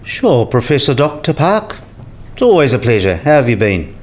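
A man playing a learned, teasing professor in a radio play, deadpan and lecturing. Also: mid-range voice, normal speed, lightly acted. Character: teasing, dry-witted male professor, learned and smug. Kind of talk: acted two-person dialogue.

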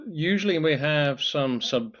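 A man's voice: fairly high pitch, lively intonation, a little slow, fairly bright tone. A man with a British accent, a guest in a podcast interview, speaking thoughtfully with frequent restarts. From United Kingdom. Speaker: guest, solutions architect. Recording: slightly dull lo-fi audio.

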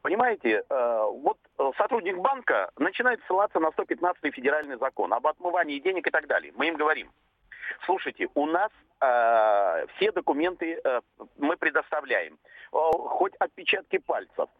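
A man's voice: on the high side, very animated, moderate pace, no echo. Hesitant man calling in on phone line. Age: 50s. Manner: hesitant, many filler sounds. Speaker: caller. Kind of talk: call-in radio comment. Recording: dry phone-line audio.

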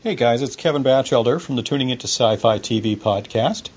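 A man's voice: medium pitch, a little fast, a little mellow, slightly rough. A man giving casual, opinionated review commentary as a podcast listener sending in feedback. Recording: dull lo-fi audio with faint noise.

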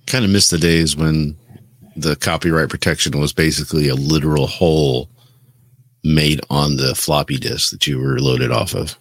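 A man with a deep voice chats casually as a gaming podcast co-host, offering personal opinions in everyday conversational language. His voice is recorded dry, without audible room echo. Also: steady tone, normal speed.

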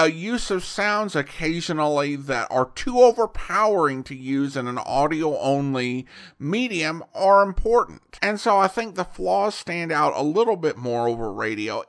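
A man speaks as a reviewer hosting a show, in a measured, conversational way. His pitch sits a little high and moves around a great deal. He delivers his opinions at a slow pace. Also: fairly bright tone. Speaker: host reviewing old radio drama. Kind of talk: semi-scripted review commentary.

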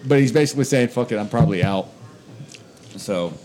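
A man's voice: mid-range voice, animated, fairly quick, noisy background. Man rambling with lots of uh's. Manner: rambling, full of "uh" and restarts. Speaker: podcast co-host. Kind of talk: casual podcast chat.

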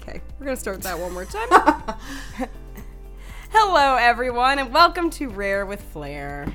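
Bright, playful young woman co-host. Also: fairly high pitch, big pitch swings, a little slow, faint music behind. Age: 20s. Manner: playful, teasing, chatty. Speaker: podcast co-host. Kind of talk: casual chat.

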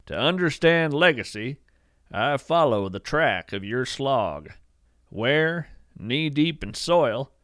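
A man playing a folksy, earnest naturalist who hosts an audio fiction show, narrating in a measured, lyrical way. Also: medium pitch, lively intonation, a bit bright, no room sound, studio quality. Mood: solemn, lyrical. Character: folksy, wonder-struck male naturalist hosting a show about cryptids. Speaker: podcast host.

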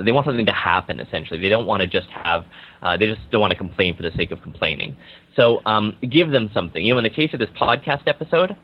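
A man with a whiny, nasal voice, a podcast guest who explains in a lively way with everyday examples. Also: on the low side. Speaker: podcast guest, social media agency owner. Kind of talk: casual podcast chat. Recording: slightly dull lo-fi audio.

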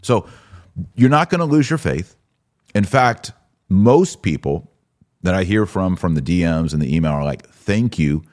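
A man's voice: sits low, expressive, a little slow, darkish tone. A man hosting a podcast, giving opinionated religious commentary in an emphatic, exhorting manner with rhetorical questions. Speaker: podcast host.

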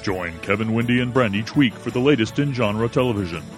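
A man's voice: fairly low pitch, lively intonation, fairly quick, soft background music, natural voice. A man playing an unseen, unflappable announcer in a scripted promo.

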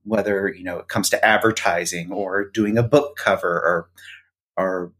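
A man who is a guest on a podcast, talking about books in a thoughtful, hesitant way with many pauses. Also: low-pitched, steady tone, a bit bright. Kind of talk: casual interview chat.

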